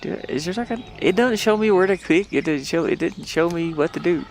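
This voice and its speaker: A man's voice: sits high, expressive, a little fast, a little hiss or hum. A man giving casual gameplay commentary, puzzled and muttering to himself as he thinks aloud. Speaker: gamer playing and commenting on a game.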